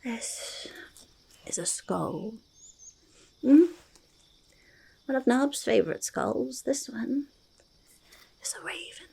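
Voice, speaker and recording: A woman voicing a quirky, stubborn goblin who loves shiny treasures, in a halting, breathy, creaky ASMR whisper, speaking in third person. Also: high-pitched, animated, warm tone, full character voice. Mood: curious showing off. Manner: halting, childlike third-person speech, easily argumentative. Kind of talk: soft-spoken ASMR roleplay, mostly whispered.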